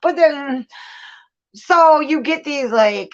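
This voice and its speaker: A woman's voice: high voice, expressive, slow, dull audio. A woman chatting candidly and casually, telling stories in blunt, profane slang. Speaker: talk show panelist recounting prison life.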